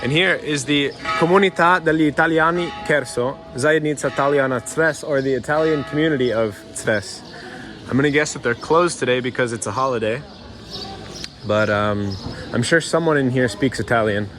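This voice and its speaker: A man's voice: medium pitch, moderate pace, music in the background. Easygoing male travel vlogger outdoors. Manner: chatty, easygoing, many fillers. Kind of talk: casual talk to camera while walking. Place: outdoors in old town streets.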